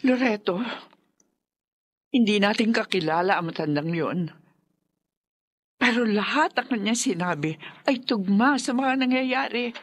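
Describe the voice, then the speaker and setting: A woman's voice: medium pitch, lively intonation, unhurried, pauses often. An actress playing a grieving mother in a radio horror drama, speaking earnestly and insistently. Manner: earnest, pleading.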